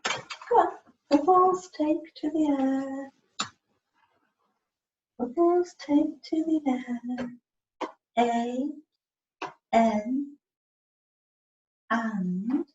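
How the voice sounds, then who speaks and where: A woman with a fairly high voice coaches a learner one to one, taking her time. She repeats words and spells out letters, prompting as she goes. The sound is echoey and distant, as on a video call. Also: drawn-out words, long pauses, darkish tone. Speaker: communication support partner helping a learner spell out words. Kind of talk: one-to-one lesson, coaxing and prompting. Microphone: video call, away from the microphone. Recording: echoey room, muffled distant mic, faint noise.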